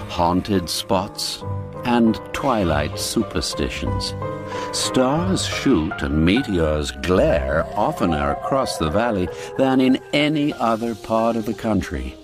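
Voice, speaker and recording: A man with a very low voice reads a classic tale at an unhurried pace. His delivery is measured and deliberate, and his pitch rises and falls widely from phrase to phrase. Faint music plays quietly behind him. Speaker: narrator. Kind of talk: scripted radio story narration. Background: faint background music.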